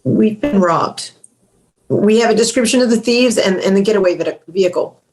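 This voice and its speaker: A nervous bank manager, played by an actor with a mid-pitched voice. The delivery is hesitant and stammering, read from a script. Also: moderate pace, a little mellow, close to an everyday voice, rough recording. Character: nervous bank manager phoning a mob boss. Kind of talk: table read of a screenplay.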